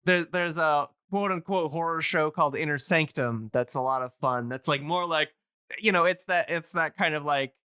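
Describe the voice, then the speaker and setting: A man's voice: a little high, normal speed, bright, forceful tone, clean tone, no echo, studio quality. A man in casual podcast chat, talking in a rambling, hedging way as an enthusiast guest. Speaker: podcast guest and radio drama hobbyist.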